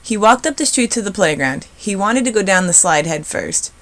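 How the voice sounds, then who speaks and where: A woman's voice: medium pitch, lively intonation, normal speed, a bit bright. A woman narrating a children's storybook expressively as its reader.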